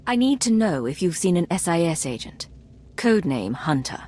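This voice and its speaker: A woman's voice: lowish voice, sing-song, moderate pace, a little mellow. A woman playing a cool, resolute Imperial intelligence agent in a video game, speaking in a composed, clipped and stern way. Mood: calm, probing. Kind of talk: scripted video game dialogue.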